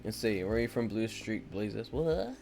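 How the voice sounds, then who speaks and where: A man's voice: fairly low pitch, lively intonation, moderate pace, gravelly, a little mellow. A young man with a stuffy nose chatting casually with viewers as he draws, rambling with lots of fillers. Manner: rambling, filler-heavy, talks to chat. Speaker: art streamer. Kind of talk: casual chat with viewers while drawing. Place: indoors at home.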